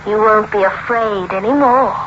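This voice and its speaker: An actress playing a menacing, murderous evil double in an old radio drama, taunting her victim with slow, deliberate delivery. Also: medium pitch, fairly bright tone, lightly acted. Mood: gloating, taunting. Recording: noisy, muffled old radio recording.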